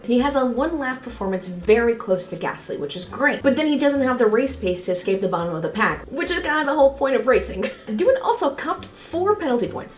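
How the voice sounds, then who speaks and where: A woman giving a casual, opinionated race review in an informal way. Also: mid-range voice. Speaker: motorsport analysis video host. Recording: echoey room, faint music behind.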